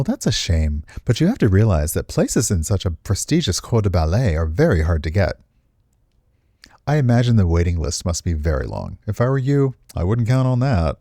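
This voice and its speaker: A man's voice: fairly low pitch, warm tone, no echo, studio quality. A man voicing a well-meaning but condescending, nostalgic older woman in visual novel dialogue, with a genteel, airy manner. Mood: patronising.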